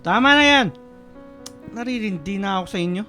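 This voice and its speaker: Very high-pitched, scolding old father. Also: animated, fairly quick, pushed and bright. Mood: weary, decisive. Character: exasperated, domineering older father and grandfather. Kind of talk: Filipino radio melodrama acting. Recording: music and guitar behind the voice.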